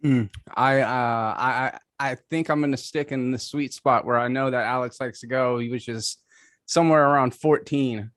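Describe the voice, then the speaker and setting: A man's voice: medium pitch, fairly even intonation, normal speed, a bit bright. A man taking part in a casual debate show, making his case in a wry, argumentative way. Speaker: debate show contestant, podcaster.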